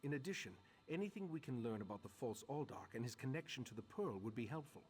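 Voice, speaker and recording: An actor playing an earnest, authoritative master of a mage order in a video game, measured and formal. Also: male voice, medium pitch, animated, darkish tone, lightly acted. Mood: serious, instructing. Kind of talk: video game character acting.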